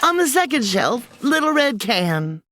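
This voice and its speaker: A woman's voice: a little high, big pitch swings, unhurried, background noise. A woman voicing a motherly older Old West landlady in a comedy audio drama, with a homespun drawl and a fussing manner.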